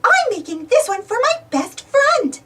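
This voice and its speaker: A woman voicing an excitable little girl cartoon mouse in a storybook, playful and squealing. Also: extremely high pitch, a little slow, distant mic, slight background noise, pitched above the performer's own voice, exaggerated voice. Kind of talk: children's storybook character voice.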